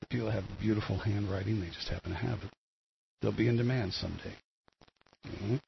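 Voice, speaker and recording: An older man with a gravelly voice, hosting a rambling solo commentary on the news, full of fillers and restarts. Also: lowish voice, fast talker. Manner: meandering, many fillers and restarts. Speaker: solo show host. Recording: dull lo-fi with faint noise.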